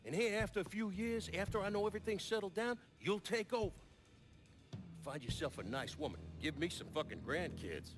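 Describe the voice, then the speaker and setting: A man's voice: high-pitched, animated, fairly quick, music in the background. An actor playing an older American mob boss and father, world-weary, stern and lecturing, in a video game crime drama. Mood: weary, worried.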